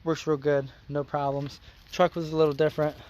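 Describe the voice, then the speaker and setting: A man hosting a car vlog outdoors, explaining his install in a plain-spoken, folksy way, his voice a bit hoarse. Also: fairly high pitch, little pitch movement, fairly quick, a little mellow, dull audio. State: slightly hoarse. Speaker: car customizing channel host. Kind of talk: casual how-to vlog.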